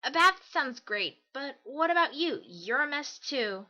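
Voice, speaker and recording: Lady doing an upbeat cocky pony girl, highish n bouncy, casual, caring. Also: expressive, a little slow, bright, forceful tone, muffled recording, full character voice. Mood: easygoing. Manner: casual, breezy. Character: upbeat, brash, caring female pegasus pony. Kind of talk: cartoon fan fiction voice acting.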